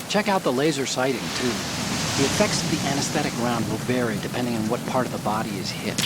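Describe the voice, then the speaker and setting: An actor playing an earnest, self-assured tech expert in a video game, explaining precisely. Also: male voice, mid-range voice, natural voice. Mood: explanatory. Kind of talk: video game cutscene acting. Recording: heavy noise of rain and vehicles.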